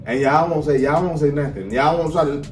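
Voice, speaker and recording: A man's voice: a little high, fairly quick. Blunt, cussing man on a podcast. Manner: blunt, profane, emphatic. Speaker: podcast co-host. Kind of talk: casual chat. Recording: echoey room, distant mic, faint music.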